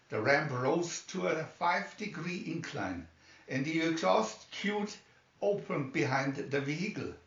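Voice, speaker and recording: A man's voice: fairly high pitch, a bit bright. Man reading a story, roomy distant sound. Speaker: amateur reader of a children's story booklet. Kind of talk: scripted story narration, read aloud. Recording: dull, roomy, distant microphone.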